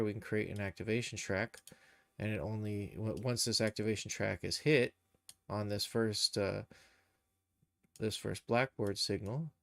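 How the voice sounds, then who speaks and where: A man hosting a casual, unscripted software tutorial, thinking aloud as he explains each step. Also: lowish voice, no echo. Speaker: live stream tutorial host, game and cartoon developer.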